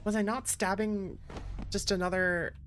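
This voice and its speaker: A woman's voice: mid-range voice, steady tone, measured pace. A woman giving casual, sweary, reacting commentary as she streams a video game. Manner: informal, sweary. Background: game music.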